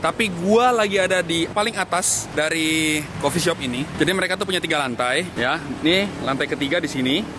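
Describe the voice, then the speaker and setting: A man's voice: a little high, a little fast, hardly any pauses, very noisy. A young man with a Jakarta Indonesian accent chatting casually to a camera held at arm's length, slangy and chummy like a vlogger. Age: young adult. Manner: slangy, chummy address to viewers. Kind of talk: casual vlog chat to camera. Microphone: handheld camera at arm's length.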